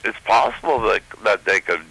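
Very low-voiced plainspoken male caller. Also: normal speed, vocal fry, bright, forceful tone, slightly rough, low-quality recording. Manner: plainspoken, casual grammar, drawn out. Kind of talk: call-in radio conversation.

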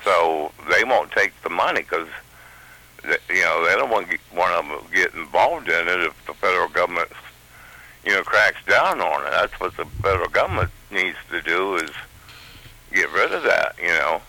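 Very low-voiced plainspoken male caller. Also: expressive, normal speed, creaky, pushed and bright, rough recording. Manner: plainspoken, casual grammar, drawn out. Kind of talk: call-in radio conversation.

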